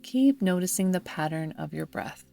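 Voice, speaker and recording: A woman guiding a yoga nidra meditation in a soothing, measured manner, leaving long pauses between phrases. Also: on the low side, animated, gravelly, mellow. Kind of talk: guided meditation narration. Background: background music.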